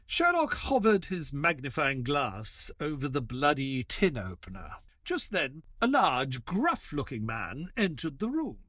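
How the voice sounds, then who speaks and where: An actor playing an earnest male doctor who narrates an audio drama as his memoir, with expressive, dramatic delivery. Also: on the high side, a little slow, no room sound, studio quality, full character voice. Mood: grave, storytelling.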